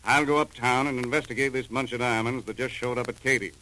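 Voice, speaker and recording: An American man acting a gruff, seasoned customs chief in a 1940s radio crime drama, with clipped, slangy, businesslike delivery. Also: medium pitch, steady tone, fast talker, pushed and bright, slight background noise, close to an everyday voice, low-quality recording. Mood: businesslike, intent. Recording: old 1940s radio recording.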